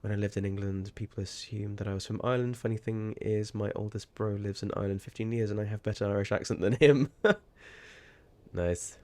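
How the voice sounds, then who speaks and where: Low-voiced guy rambling on a game stream, swears a lot, yelps when startled. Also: steady tone, fairly quick, darkish tone. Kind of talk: casual livestream chat.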